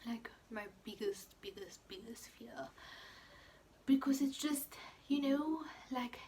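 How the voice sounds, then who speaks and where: A woman's voice: on the high side, takes it slowly, dark tone, a little hiss or hum. A young woman chatting casually as she answers viewer questions in her vlog, full of fillers and quoted asides. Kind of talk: casual chat.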